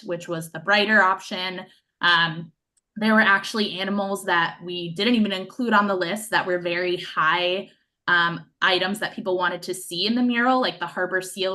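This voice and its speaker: Bright-voiced woman presenting at a meeting. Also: on the low side. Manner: conversational, many um fillers. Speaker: city staff presenter at a public meeting.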